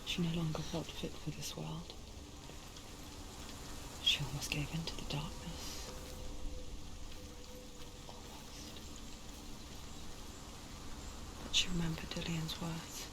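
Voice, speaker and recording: A woman playing a knowing, ominous inner voice that narrates a game story in a hushed, deliberate, intimate whisper. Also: low-pitched, little pitch movement, vocal fry, noisy background, full character voice. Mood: solemn.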